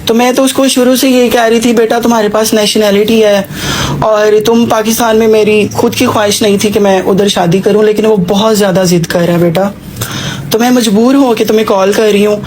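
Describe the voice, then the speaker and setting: A woman's voice: mid-range voice, darkish tone, a little hiss or hum, natural voice. A woman playing a persuasive, well-off mother seeking a bride for her son on a prank call, mixing in English words. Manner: auntie-like, persuasive, addresses listener as child, English words mixed in. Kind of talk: acted prank phone call.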